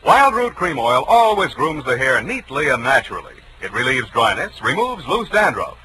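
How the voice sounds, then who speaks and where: A man's voice: medium pitch, big pitch swings, moderate pace, pushed and bright, a little hiss or hum, poor audio. A man announcing in a polished, emphatic 1940s radio commercial style on a vintage broadcast.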